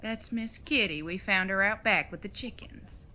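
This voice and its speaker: Over a slightly noisy recording comes a woman acting a folksy old retired outlaw mother from the Old West. Her voice is bright and forward, sitting at an ordinary female pitch. She talks in a drawling frontier manner, fond and teasing, as in an audio drama. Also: normal speed, lightly acted. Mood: fond scolding.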